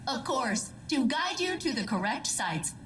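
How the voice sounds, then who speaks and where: A woman's voice: high-pitched, big pitch swings, unhurried, a bit bright, far from the mic, a little hiss or hum, full character voice. A woman playing an eager, perky spaceship AI with wounded undertones in a video game, chirpy and theatrical, swinging between formal and chummy. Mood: eager, bossy. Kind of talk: scripted video game dialogue. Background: clear music.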